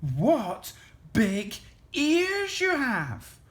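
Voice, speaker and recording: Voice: very high voice, sing-song, takes it slowly, fairly bright tone, pitched above the performer's own voice, exaggerated voice. A storyteller voicing a polite, curious little girl with a careful, sing-song delivery. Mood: wary wonder. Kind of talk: storybook character voice.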